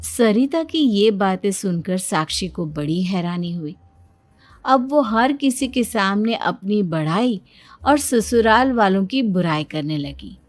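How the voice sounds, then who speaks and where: A woman's voice: medium pitch, expressive, slow, no room sound. A woman narrating a scripted cartoon family story as a storyteller. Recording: background music under the voice.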